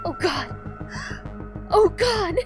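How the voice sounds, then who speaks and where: A woman playing an earnest, guilt-ridden, frightened young hero in a dark audio drama, hesitant and polite. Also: very high voice, wildly swooping pitch, extremely slow, over music, exaggerated voice. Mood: panicked.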